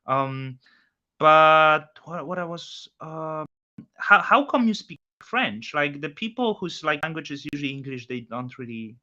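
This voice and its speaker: A man with a Polish accent hosting a casual chat, speaking haltingly with frequent restarts. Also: a little high, lively intonation, pushed and bright. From Poland. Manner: halting, many restarts, non-native phrasing. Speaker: host. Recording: dull lo-fi audio.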